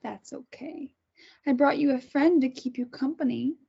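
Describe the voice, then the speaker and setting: A woman's voice: high-pitched, moderate pace, creaky, dark tone. A woman in a script table read, playing a controlling mother and captor with menacing, false sweetness. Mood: falsely sweet. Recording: dull, muffled lo-fi sound.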